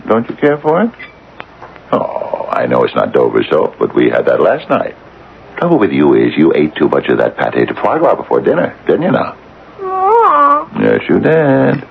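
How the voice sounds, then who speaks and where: A man's voice: rumbling low, huge pitch swings, normal speed, creaky, exaggerated voice. An actor playing a fussy, hesitant older father who dotes obsessively on his cat, in an old-time radio drama. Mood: doting. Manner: fussy, hesitant, fond murmuring. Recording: dull, noisy old radio recording.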